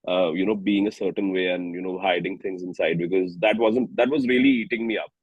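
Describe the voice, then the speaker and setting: A man with an Indian accent chatting casually as an interview guest, halting and candid as he searches for words. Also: deep voice, steady tone, husky, no echo, phone-quality sound. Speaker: singer-songwriter guest.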